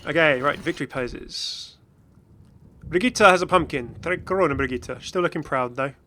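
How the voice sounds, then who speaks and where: A man hosting a gaming video with casual, excited reaction commentary in a rambling, exclamatory way. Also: a little high, moderate pace, slight background noise. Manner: rambling, exclamatory, chuckling asides.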